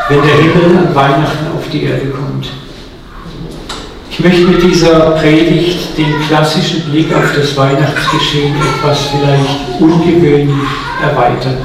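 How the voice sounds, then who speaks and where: A man's voice: fairly high pitch, background noise. Echoey sixties male preacher in a hall. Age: 60s. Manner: casual, personal, humorous preaching. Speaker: guest preacher. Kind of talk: sermon. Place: church hall. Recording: echoey hall, mic from far off.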